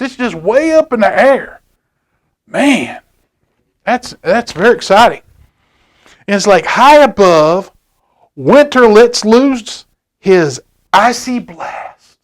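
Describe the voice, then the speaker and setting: A man's voice: very high-pitched, big pitch swings, slow pace, pauses often. A man reading a picture book aloud to children at a library storytime, asking them questions as he goes. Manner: chatty, asks children questions, repeats words.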